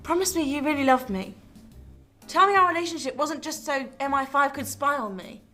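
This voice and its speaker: A woman plays an insecure, suspicious girlfriend and reporter in a radio drama. Her voice is high and emotional, with faint music behind it. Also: fairly quick, pushed and bright. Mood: shaken, needy.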